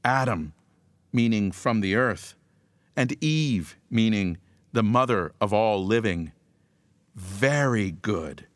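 A man preaching a scripted devotional message in a measured, emphatic way, leaning on rhythmic repetition. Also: on the low side, animated, no echo, studio quality.

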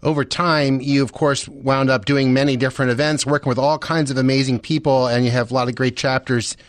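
A man's voice: medium pitch, fairly even intonation, brisk, no room sound. A man hosting a radio show, introducing and questioning a guest in a conversational style.

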